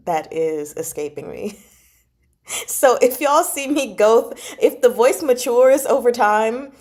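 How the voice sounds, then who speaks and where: A woman chatting casually and informally to her livestream viewers, giggly and animated as she talks. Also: fairly high pitch. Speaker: host of a book club channel and amateur audiobook narrator. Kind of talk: casual livestream chat, reading out and answering comments.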